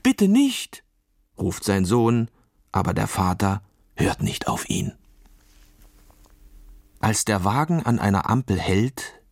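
A man narrating a scripted children's story in a measured, expressive storytelling manner. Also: fairly low pitch, no echo, clean studio sound.

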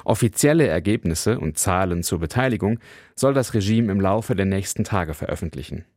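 A male correspondent reads a scripted radio news report in a very low voice. His delivery is measured and matter-of-fact, recorded dry and close with no room sound. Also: expressive, darkish tone, no room sound.

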